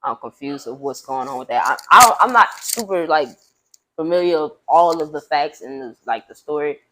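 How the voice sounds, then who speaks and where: A woman's voice: low-pitched, lively intonation, moderate pace, pushed and bright, slight background noise, low-quality recording. A woman with a light voice, a singer talking loosely with many fillers as a podcast guest. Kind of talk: casual conversation.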